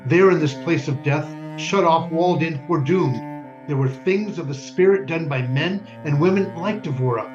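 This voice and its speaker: A man's voice: a little high, reverberant, lightly acted. Man acting a solemn husband narrating, muffled, over music. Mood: solemn, reverent. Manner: measured, solemn, poetic delivery. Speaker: community theater actor. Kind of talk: dramatic stage narration.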